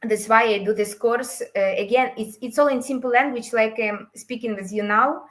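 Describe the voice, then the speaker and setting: A woman's voice: fairly high pitch, moderate pace, a bit bright. Woman presenting. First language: Ukrainian and Russian. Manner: hesitant, frequent fillers and restarts. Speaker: course creator presenting a webinar. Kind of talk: webinar talk.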